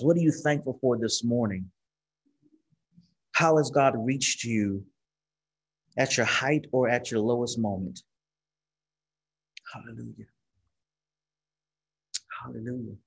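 A man's voice: medium pitch, gravelly, husky. A man in his forties, a pastor leading a church service over a video call, encouraging and affirming as he reads out messages. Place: small studio room with brick wall and screen. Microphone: webcam video call.

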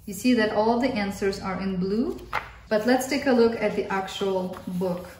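A woman with a Russian accent talks through children's workbooks at a table, friendly and explanatory, doubling words for emphasis. Her voice sits at an ordinary pitch and carries a roomy echo from a mic set well away from her. A faint hiss runs underneath. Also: moderate pace, far from the mic. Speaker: homeschooling mother. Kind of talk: casual workbook flip-through review. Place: indoor room at a table.

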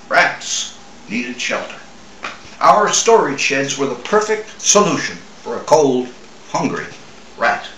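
An older man from Newfoundland reads a short story aloud in a measured, expressive way, with dramatic pauses. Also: high voice, a little hiss or hum. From Newfoundland, Canada. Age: older, 60s or 70s. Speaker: author reading own short story. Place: small room at home or office, seated at a table. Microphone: camera a few feet away. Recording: roomy, distant microphone.

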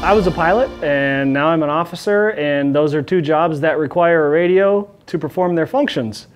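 A man's voice: fairly high pitch, darkish tone, smooth. A middle-aged man, a police officer, giving an informal explanation to camera in an indoor meeting room.

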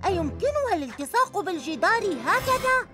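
An eager six-year-old boy superhero from a cartoon with a very high, bouncy voice. He sounds proud and keen to prove himself. Cartoon music runs under him. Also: very animated, a little fast. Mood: boastful. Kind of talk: dubbed cartoon acting. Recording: studio voice with loud cartoon music.

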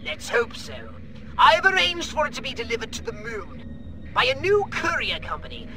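A fussy, excitable alien scientist with a shrill, reedy voice that climbs very high. His pitch swings lively between whiny lows and giddy squeaks. Game music plays clearly underneath the video game cutscene. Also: moderate pace, full character voice. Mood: excited.

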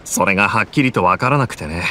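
A man's voice: low-pitched, lively intonation, fast, pushed and bright, a little hiss or hum. An easygoing young townsman. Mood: thoughtful friendly. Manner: easygoing, thoughtful. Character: friendly, observant young townsman. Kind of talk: video game voice acting.

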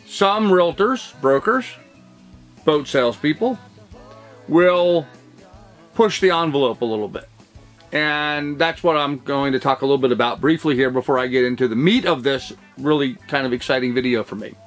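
A man's voice: medium pitch, moderate pace, soft background music. A middle-aged man who works as a real estate broker, talking casually to the camera in a cluttered home office, rambling with lots of fillers and restarts. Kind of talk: casual talking-head vlog.

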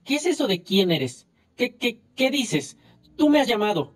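A man's voice: extremely high pitch, big pitch swings, measured pace, pitched above the performer's own voice, heavily acted. A reader voicing a frantic escaped convict, a man who stammers and repeats his words in an audiobook. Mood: panicky stammering.

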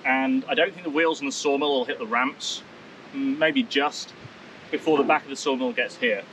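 A man in his thirties, a vlogger, talking outdoors in a wooded clearing and explaining things step by step. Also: medium pitch, normal speed, fairly bright tone, slight background noise, poor audio. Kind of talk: casual explainer vlog.

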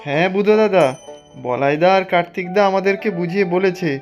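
A man's voice: high voice, brisk, dull audio, natural voice. Male actor as young villager, earnest, grateful, cartoon dialogue, faint music. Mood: resolved. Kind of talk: Bengali cartoon voice acting.

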